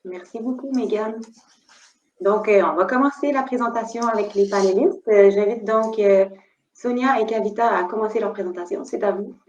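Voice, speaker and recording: A woman with a Québécois French accent hosting an online panel over a video call, speaking in a measured way with frequent hesitations. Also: medium pitch, steady tone, darkish tone, echoey room, muffled recording, a little hiss or hum. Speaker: panel moderator.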